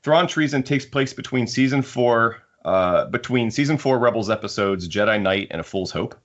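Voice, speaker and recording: A man hosting a podcast, talking casually about books in a halting way with frequent restarts. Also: medium pitch, animated, muffled recording. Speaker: podcast host. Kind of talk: casual discussion of sci-fi books.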